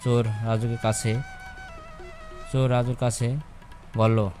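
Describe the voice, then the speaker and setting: A man tells a children's story in a slow, scripted narration. His pitch is medium, and soft music runs behind him. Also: flat delivery.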